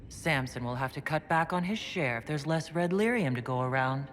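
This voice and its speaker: A man's voice: fairly high pitch, animated, moderate pace, fairly bright tone. A man playing a resolute, practical fantasy leader in a video game, speaking in a composed, matter-of-fact way. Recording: clear background noise and ambience.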